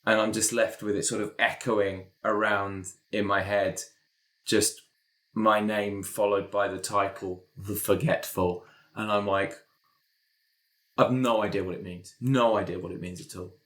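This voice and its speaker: Low-voiced man improvising as a player. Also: steady tone, a little slow, a bit bright. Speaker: player in a role-playing podcast. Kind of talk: improvised in-character storytelling chat.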